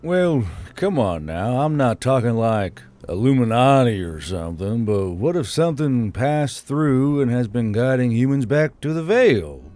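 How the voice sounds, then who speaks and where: A man's voice: medium pitch, expressive, unhurried, few pauses, darkish tone, lightly acted. A man playing an earnest, slightly exasperated leader of riders in an audiobook story. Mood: strained, defensive. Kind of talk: audiobook character dialogue.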